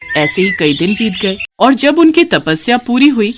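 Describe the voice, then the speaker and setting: Expressive woman narrating a children's story. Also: on the high side, a little slow, music in the background. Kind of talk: scripted bedtime-story narration.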